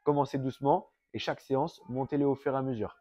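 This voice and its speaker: A French-accented fitness coach with a cold, breathing through his mouth and quickly out of breath. His voice is dark and mellow, recorded dry and close. He walks viewers through a home circuit step by step. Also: medium pitch, fairly even intonation, no echo, lo-fi sound. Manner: explains step by step, addresses viewers directly. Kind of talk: home workout instruction. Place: fitness studio.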